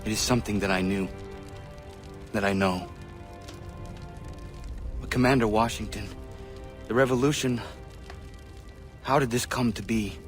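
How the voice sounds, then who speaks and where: Man acting a low-voiced, grave young warrior. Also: lightly acted. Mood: troubled. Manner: grave, measured, formal phrasing. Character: earnest, resolute young Native warrior son, grave and restrained. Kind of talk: dramatic video game voice acting. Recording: music behind the voice.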